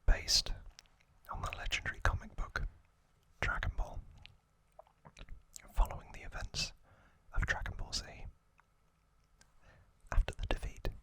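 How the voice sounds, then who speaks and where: Slow whispering man, ASMR style. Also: bright, forceful tone. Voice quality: breathy unvoiced whisper. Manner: slow, drawn-out phrasing with frequent pauses. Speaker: ASMR host. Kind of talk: whispered ASMR trivia narration.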